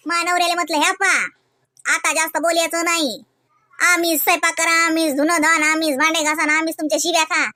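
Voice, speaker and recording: A woman voicing gossipy, excitable village housewives in a comedy cartoon, exclaiming and teasing in rustic Varhadi Marathi. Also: very high voice, brisk. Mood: boastful, defiant. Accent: Varhadi Marathi from Vidarbha. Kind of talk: colloquial comic cartoon dialogue.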